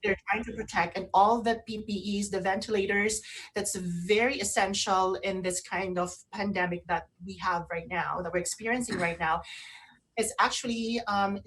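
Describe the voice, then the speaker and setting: A woman co-hosting a casual radio chat, speaking haltingly and often repeating and rephrasing herself. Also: mid-range voice, fairly even intonation, fairly bright tone, poor audio. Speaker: radio show co-host. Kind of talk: casual talk show chat.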